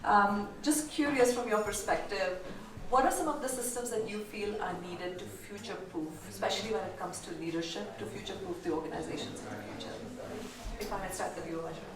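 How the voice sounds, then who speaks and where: A woman's voice: medium pitch, brisk, a crowd in the background. A woman moderating a panel discussion, speaking with many fillers and restarts. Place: large echoey lounge. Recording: echoey room from far off, noisy.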